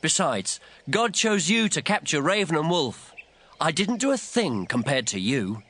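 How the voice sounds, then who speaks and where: A high-pitched, fiery army commander. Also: male voice, very animated, measured pace, a bit bright, exaggerated voice. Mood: placating. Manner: commanding, declamatory delivery. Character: bold, fiery ancient Israelite army commander. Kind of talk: dramatized audio Bible acting.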